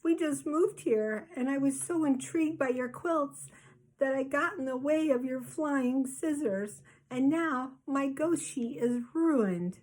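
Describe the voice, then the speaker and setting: A woman voicing a sweet young girl ghost who is eager and easily tearful, speaking high and tremulous. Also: normal speed, darkish tone, natural voice, low-quality recording.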